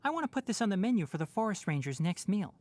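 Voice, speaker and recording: A man's voice: high voice, big pitch swings, quick pace, darkish tone, no echo. An actor playing a young male forest ranger with fox ears and a tail, composed, wry and knowledgeable, in video game voice lines. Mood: calm wry. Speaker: game character.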